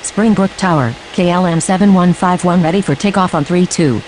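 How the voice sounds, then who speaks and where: A woman's voice: lowish voice, fairly quick, darkish tone, soft background music, lightly acted, rough recording. A woman playing an airline pilot making clipped, businesslike radio calls in an air traffic control game. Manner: clipped, formulaic, businesslike callouts.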